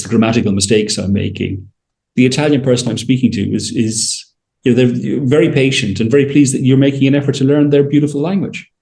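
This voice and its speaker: A man's voice: medium pitch, a little mellow. A middle-aged man talking as an interview guest on a video call, wry and a little hesitant. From Ireland. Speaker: interview guest, winery owner. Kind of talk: casual anecdotal conversation. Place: indoor room, on a video call.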